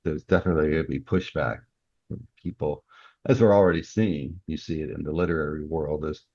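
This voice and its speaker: Male interview guest, extremely deep voice, casual and explanatory delivery, slightly muffled lo-fi audio. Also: brisk, darkish tone, slightly rough, no echo. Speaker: guest, author and cybersecurity professional. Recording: slightly dull, lo-fi sound.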